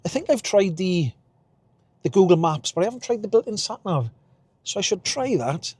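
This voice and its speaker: A man's voice: high voice, very animated, moderate pace, a little mellow, breathy edge, no echo. A Welsh man in his fifties, chatting casually and rambling while he drives, recorded in his car. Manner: chatty, rambling, chuckles at himself. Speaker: vlogger. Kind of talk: casual driving vlog. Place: inside a car while driving.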